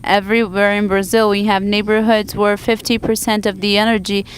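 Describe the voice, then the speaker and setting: A woman interpreting a panel discussion live into English from a conference booth, halting often to restart and correct her words. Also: mid-range voice, little pitch movement, slight background noise, low-quality recording.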